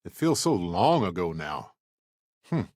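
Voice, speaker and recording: A man playing a genial, wry, curious middle-aged space explorer in a video game, speaking in a conversational, expressive way. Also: sits low, unhurried, no room sound, clean studio sound, heavily acted. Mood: thoughtful, earnest. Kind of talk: video game character dialogue.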